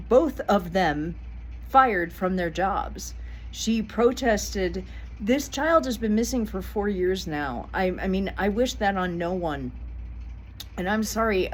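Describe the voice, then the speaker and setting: A woman's voice: lowish voice, a little hiss or hum, poor audio. A middle-aged woman giving a casual commentary vlog, blunt and indignant, swearing freely. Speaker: vlogger.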